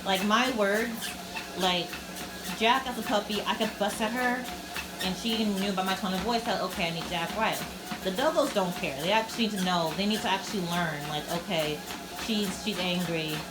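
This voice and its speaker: A woman chatting casually in a vlog while training her dog, rambling and coaxing him along in a high sing-song voice. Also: moderate pace, noisy background. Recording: roomy echo with loud music behind.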